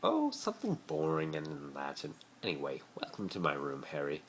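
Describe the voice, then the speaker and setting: A man's voice: mid-range voice, wildly swooping pitch, normal speed, gravelly, slightly rough, pitched above the performer's own voice, exaggerated voice. A man voicing a haughty, snappy teenage boy in a story reading, with an affected, whiny delivery. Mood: smug. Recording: noisy amateur phone recording.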